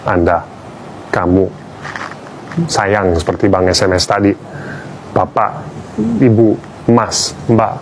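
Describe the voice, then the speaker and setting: A man's voice: low-pitched, steady tone, takes it slowly, pauses often, a little hiss or hum, poor audio. A man from Australia, a native English speaker, giving a wry, playful public talk as a language teacher.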